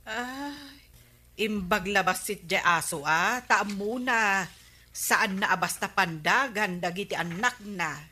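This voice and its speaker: Sharp-tongued Ilocano wife, bright voice. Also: female voice, medium pitch, takes it slowly. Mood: neighborly. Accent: Filipino, Ilocano. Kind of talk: Ilocano radio comedy-drama acting.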